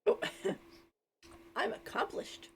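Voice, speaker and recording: A woman's voice: slow pace, background noise. A woman streamer giving casual, chatty live commentary as she plays a game. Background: game music.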